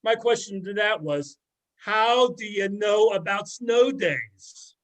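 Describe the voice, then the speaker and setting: Sardonic middle-aged ex-student, played by a man, high male voice, gossipy and mocking, amateur readers theater. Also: slow pace, a bit bright, slight background noise, poor audio. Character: sardonic middle-aged former student dishing on an old teacher. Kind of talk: amateur readers theater, conversational script reading.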